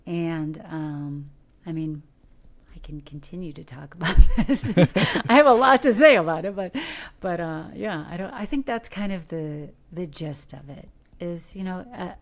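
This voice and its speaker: A middle-aged American woman from Detroit, an actor giving earnest, coaching advice in a podcast interview, animated with rhetorical questions. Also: lowish voice, a little slow. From Detroit, USA. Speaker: guest, actor. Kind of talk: podcast interview, motivational talk.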